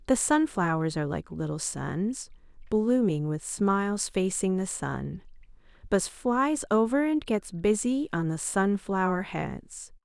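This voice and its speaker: A woman reading a scripted children's bedtime story in an expressive read-aloud manner. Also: medium pitch, slow, creaky, clear, resonant tone, no room sound, clean studio sound. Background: music.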